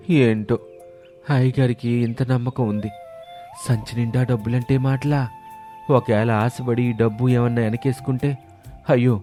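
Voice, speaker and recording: A man voicing a humble servant in an animated moral story, grumbling in puzzlement yet respectful to his master. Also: mid-range voice, close to an everyday voice.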